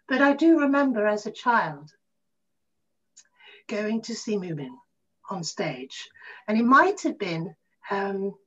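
A middle-aged woman reminiscing casually in an interview, telling stories in a halting, animated way. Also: mid-range voice. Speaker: interview guest, actor. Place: room at home, on a video call. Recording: muffled video call audio.

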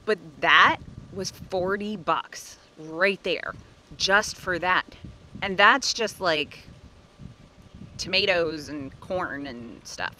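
A woman in her forties talks casually into a phone held at arm's length, with a bright, forward tone. She is chatty and animated, often laughing at herself. She is recording outdoors in a sunny park. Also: deep voice. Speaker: family budget vlogger. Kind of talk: casual vlog talk to camera.

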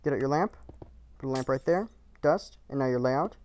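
A man's voice: medium pitch, normal speed, a little mellow. A man narrating a game build tutorial in short, clipped, matter-of-fact instructions, recorded as a voice-over.

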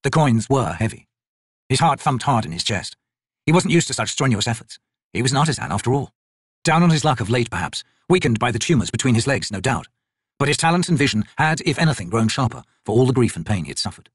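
A man narrates a fantasy novel in a husky, mid-pitched voice. His reading is scripted, with lively movement in pitch. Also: quick pace, dry recording, studio quality. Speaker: audiobook narrator.

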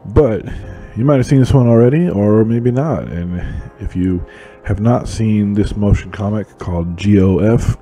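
A man's voice: lowish voice, unhurried, hardly any pauses, dark tone. A man giving casual, conversational voice-over commentary as an illustrator hosting a drawing channel. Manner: informal, conversational. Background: music.

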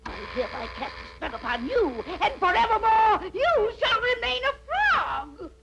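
A woman voicing a scheming, wicked cartoon witch with a theatrical, cackling, drawn-out delivery. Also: extremely high pitch, big pitch swings, creaky, full character voice. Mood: gleefully wicked. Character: ugly scheming female witch, gleefully wicked, lovestruck. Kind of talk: cartoon voice acting. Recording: noisy, hissy old cartoon soundtrack.

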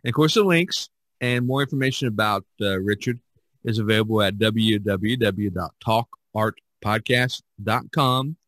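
A man's voice: fairly low pitch, normal speed, fairly bright tone, no room sound. Dry-sounding man hosting a podcast. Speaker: podcast host. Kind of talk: casual chat and sign-off.

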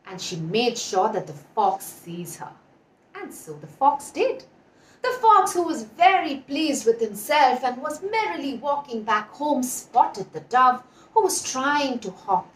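Animated high-voiced Indian woman storyteller. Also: a little slow, slight background noise. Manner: expressive, dramatic emphasis, questions to listeners. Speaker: children's storyteller with puppets. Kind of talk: animated storytelling for young children. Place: indoor room with patterned wall. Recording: echoey room, distant mic.

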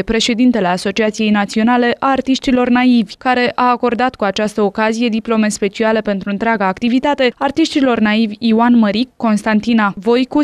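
A woman's voice: fairly high pitch, smooth, no room sound. A woman reading scripted news narration as a reporter.

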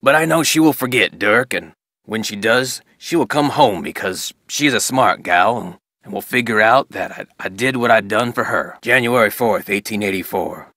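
Low, rustic Old West rancher. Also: male voice, normal speed, pushed and bright, no room sound, clean studio sound. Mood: regretful, sorrowful. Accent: rural American West. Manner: plain-spoken, rustic, folksy. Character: stern, grieving rancher father in 1880s Old West. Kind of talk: character reading of journal entries.

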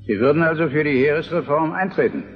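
An older man acting a weary, dutiful king of Prussia in a historical radio drama, grave, measured and formal. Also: a little high, animated, faint music behind. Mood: solemn. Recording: dull reverberant radio play recording.

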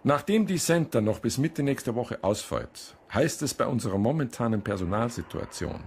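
An actor playing an officious, sardonic police chief giving a clipped briefing in a radio drama. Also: male voice, medium pitch, animated, moderate pace, slight background noise, close to an everyday voice. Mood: brisk, officious. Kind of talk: naturalistic radio drama acting.

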